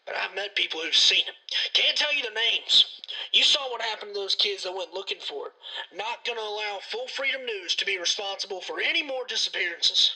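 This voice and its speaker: High, raspy, paranoid conspiracy theorist man. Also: animated, brisk, pushed and bright, full character voice, low-quality recording. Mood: paranoid, insistent. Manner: folksy, suspicious, ranting. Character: defiant paranoid conspiracy-theorist radio show host. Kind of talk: radio drama phone interview.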